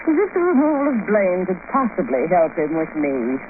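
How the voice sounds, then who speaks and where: An actress playing a rich, haughty, flirtatious socialite of fifty in a radio drama, arch, languid and teasing. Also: female voice, fairly low pitch, big pitch swings, normal speed. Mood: cool, bored. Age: 50s. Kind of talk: 1950s radio detective acting. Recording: noisy, tinny old radio recording.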